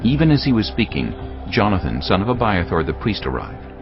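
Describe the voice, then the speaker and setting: A male narrator with a low, deep voice reads scripture as drama, his intonation moving widely. Music plays clearly behind him and the recording is somewhat dull. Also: big pitch swings, a little fast. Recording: dull lo-fi audio, music bed.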